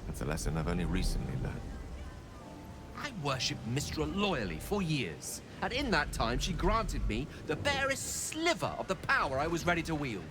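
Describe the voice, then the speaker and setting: An actor playing an ambitious, earnest wizard scholar in a video game, eloquent, persuasive and grandiose. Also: male voice, on the high side, wildly swooping pitch, normal speed, noisy background. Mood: bitter.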